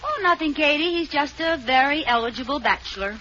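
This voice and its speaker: A spirited scheming young housewife. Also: female voice, high voice, animated, bright, forceful tone. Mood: chatty. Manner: bubbly, coaxing, quick with a comeback. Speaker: lead in a radio sitcom. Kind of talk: 1940s radio comedy acting. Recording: dull, hissy old radio transcription.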